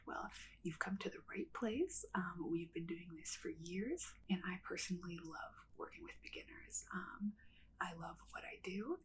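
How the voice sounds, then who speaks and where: A young woman whispering gently as a welcoming witch in an ASMR roleplay. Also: medium pitch, a little hiss or hum, low-quality recording. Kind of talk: soft-spoken ASMR roleplay.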